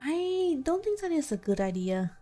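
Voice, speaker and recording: A woman's voice: high-pitched, very animated, normal speed, dark tone. A woman streamer giving casual live commentary as she plays a game. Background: game music.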